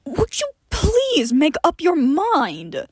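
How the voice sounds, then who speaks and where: A woman's voice: high-pitched, wildly swooping pitch, slow pace, no room sound, clean studio sound, pitched above the performer's own voice, full character voice. A woman voicing a brash, short-tempered immortal man with a blunt, sarcastic manner in an audio story. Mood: exasperated.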